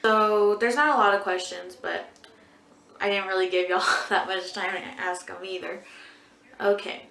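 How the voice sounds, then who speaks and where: Young woman, 20, beauty vlogger, casual Q&A, lots of ums and likes, mid-pitched, echoey room. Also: fairly even intonation, moderate pace, vocal fry, bright, forceful tone, slight background noise. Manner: rambling, full of ums and likes. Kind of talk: casual Q&A chat.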